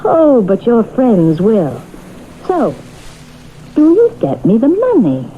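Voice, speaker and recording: A woman's voice: a little high, wildly swooping pitch, slow pace, full character voice. An actress playing a cunning, self-assured, theatrical woman accused of murder in an old-time radio drama, coaxing and taunting. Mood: hard bargaining. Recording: tinny band-limited old radio broadcast, hissy.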